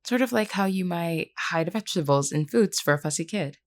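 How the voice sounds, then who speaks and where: A woman voicing a gentle, candid vampire queen in an audio roleplay, speaking in a measured, reassuring way with formal wording to one listener. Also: on the low side, lively intonation, lightly acted. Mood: warm, wry.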